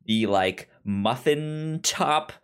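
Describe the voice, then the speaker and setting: A man's voice: mid-range voice, animated, very slow, clear, resonant tone. Man with a stutter, chatting casually. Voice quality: stutters, repeating syllables and sounds. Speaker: podcast co-host. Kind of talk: casual banter chat.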